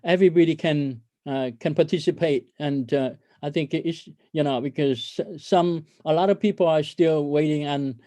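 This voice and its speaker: A man speaking as a company executive on an investor conference call, halting and hesitant as he presents, often stammering over his words. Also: a little high, mellow, dry recording. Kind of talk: corporate earnings call presentation.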